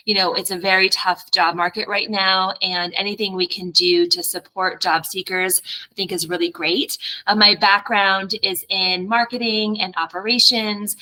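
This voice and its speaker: Bright upbeat woman opening a webinar. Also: medium pitch, poor audio. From Ohio, US. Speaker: company president hosting a webinar. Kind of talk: webinar introduction. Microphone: video call webcam.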